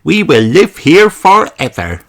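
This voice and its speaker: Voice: mid-range voice, huge pitch swings, measured pace, soft background music, pitched above the performer's own voice. A storyteller voicing a cheerful, curious and bossy little girl pig in a children's storybook. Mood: bossy, delighted. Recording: clearly noisy recording.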